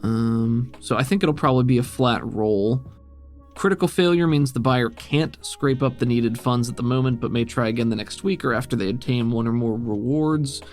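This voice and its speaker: A man's voice: mid-range voice, normal speed, a little mellow. A man speaking conversationally as game master, narrating and explaining a tabletop role-playing game. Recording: guitar music playing behind the voice. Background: plucked guitar background music.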